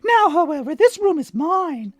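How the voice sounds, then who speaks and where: A man voicing a cheeky, defiant wooden puppet boy in a high, strained voice. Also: big pitch swings, normal speed, no room sound, faint music behind.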